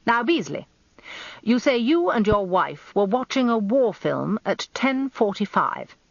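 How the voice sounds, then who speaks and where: A woman's voice: on the high side, sing-song, unhurried, dry recording, highs cut off, pitched above the performer's own voice, full character voice. A British woman voicing a blustering, combative older male defence barrister with theatrical courtesy in a radio story. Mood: combative, probing. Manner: growling, elaborately courteous, theatrical cross-examiner.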